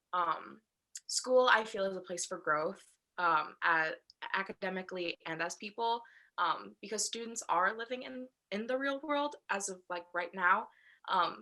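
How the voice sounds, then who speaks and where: A woman's voice: fairly low pitch, sharp tone. A woman in her early twenties, an education student, answering earnestly in a casual interview.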